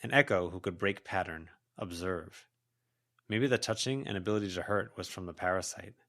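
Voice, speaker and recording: Low-voiced man narrating fantasy fiction. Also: moderate pace, fairly bright tone. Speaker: volunteer audiobook narrator.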